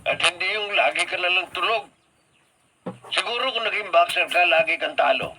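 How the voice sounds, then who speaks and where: A man's voice: sits high, normal speed. A man playing a stern but caring elderly Filipino father and grandfather in a radio drama. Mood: stern, urging. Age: older. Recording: noisy radio drama broadcast.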